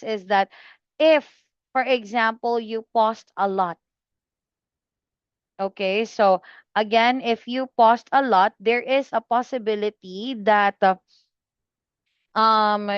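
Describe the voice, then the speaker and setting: A woman tutoring English exam students, giving feedback slowly and patiently with many fillers and pauses. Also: on the high side, smooth, no room sound, highs cut off. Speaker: IELTS speaking tutor.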